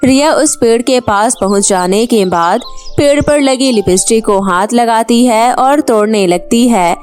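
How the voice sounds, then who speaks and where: A woman's voice: fairly high pitch, measured pace, a little mellow, soft background music. A woman storyteller reading scripted narration for a children's moral tale.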